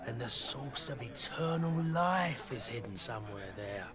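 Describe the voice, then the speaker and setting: An actor playing a talkative, world-weary tavern keeper in a fantasy game, with a folksy storytelling manner. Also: male voice, medium pitch, expressive, a little slow, music in the background, natural voice. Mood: wry, storytelling. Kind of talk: video game dialogue acting.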